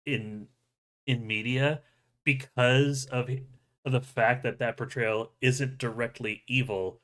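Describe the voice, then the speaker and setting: Guy hosting a movie news stream, chatty n jokey, swears a bit, middle pitch. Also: fairly bright tone. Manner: jokey, profane. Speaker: show host. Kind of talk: casual movie news chat on a livestream.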